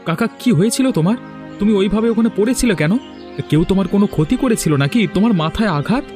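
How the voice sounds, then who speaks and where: An earnest, hardworking village man who has been wronged, in a cartoon, acted by a man. His voice is raised in pitch, over faint music. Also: lively intonation, fast, darkish tone. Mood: concerned. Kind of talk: cartoon voice acting.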